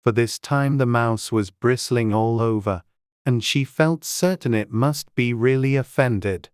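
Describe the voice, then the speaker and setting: A woman's voice: extremely low pitch, a little slow, a little mellow, clear, resonant tone, no echo, studio quality. A woman reading scripted storybook narration as an audiobook narrator.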